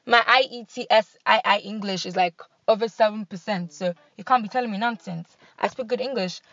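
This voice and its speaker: A young woman with a Nigerian accent hosting a podcast in casual, animated chat. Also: mid-range voice, brisk, dry recording, dull audio. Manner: chatty, animated, laughs and switches into pidgin. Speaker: podcast host.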